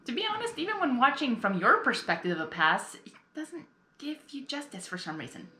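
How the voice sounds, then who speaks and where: A woman hosting a casual reaction video, excitable, apologetic and prone to rambling and repeating herself. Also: high voice, fairly quick. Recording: roomy echo, music behind.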